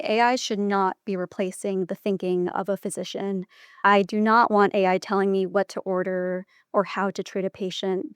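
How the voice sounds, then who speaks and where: Clear-voiced woman explaining in an interview. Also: medium pitch, no echo, studio quality. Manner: articulate, organized, point by point. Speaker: podcast guest, physician. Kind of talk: interview answers, measured explanatory talk.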